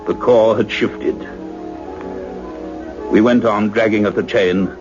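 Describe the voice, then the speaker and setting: Male, grave, intense doctor of science in radio drama; lowish pitch, muffled lo-fi recording with faint music. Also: steady tone. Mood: awed, tense narration. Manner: deliberate, intense, dramatic phrasing. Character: earnest male scientist, doctor, excited yet grave and cautious. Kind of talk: radio play acting and dramatic narration.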